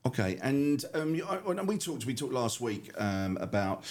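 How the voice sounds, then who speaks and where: A man's voice: medium pitch, very animated, normal speed, darkish tone. A man in his forties hosting a casual radio show, asking rambling questions full of ums and restarts. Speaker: radio host.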